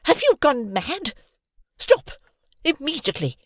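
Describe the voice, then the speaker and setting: A narrator voicing a stern middle-aged deputy headmistress who scolds in a sharp, commanding tone. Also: mid-range voice, very animated, measured pace, no echo, pitched above the performer's own voice. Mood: stern scolding.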